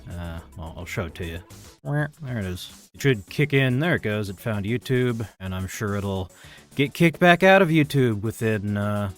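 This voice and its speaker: A man's voice: lowish voice, expressive, moderate pace. A man giving casual, informal live coding commentary as a streaming programmer, muttering to himself as he works. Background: chiptune-style keygen music.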